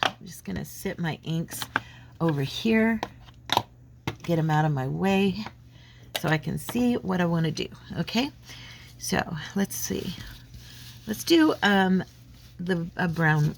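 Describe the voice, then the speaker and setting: A woman's voice: on the low side, very animated, measured pace, vocal fry, long pauses, noisy background. Woman with a head cold, chatty. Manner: rambling, friendly, many fillers and restarts. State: slight head cold, a bit froggy. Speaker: crafting channel host. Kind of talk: casual chatty craft vlog. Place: home craft desk.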